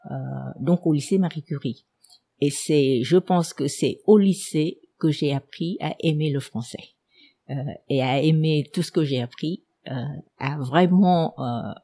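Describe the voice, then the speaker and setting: A woman's voice: low-pitched, dark tone. An older woman whose first language is Vietnamese, a historian telling her childhood memories in a reflective way with many hesitations. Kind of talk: personal memoir storytelling.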